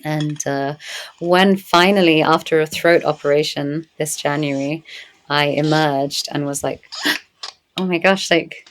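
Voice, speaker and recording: A woman speaking as a guest in an interview, reflective and earnest, growing animated when she tells stories. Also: low voice, unhurried, slight background noise. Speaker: podcast guest, medicine woman. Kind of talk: conversational interview.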